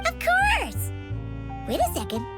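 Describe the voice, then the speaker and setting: A woman voicing a tiny, excitable, childlike fairy companion in a video game, chirpy and exclamatory. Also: very high-pitched, slow, over loud music, full character voice. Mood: surprised, cheerful. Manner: chirpy, exclamatory, speaks of self in third person.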